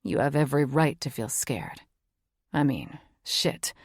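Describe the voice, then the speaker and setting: A woman's voice: extremely low pitch, very animated, a little slow, slightly rough, dry recording, clean studio sound, voice pushed down, exaggerated voice. A woman narrator voicing a young man, a playful, devoted boyfriend who teases and swears casually. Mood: tender. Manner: teasing, earnest, swears casually. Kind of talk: audiobook character voice.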